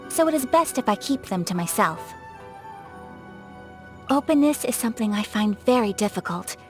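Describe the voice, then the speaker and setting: Woman acting as a young samurai clan lady from a game, highish voice, super polite n proper, reserved, music behind. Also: lightly acted. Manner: formal, polite, poised. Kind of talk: scripted game character voice lines.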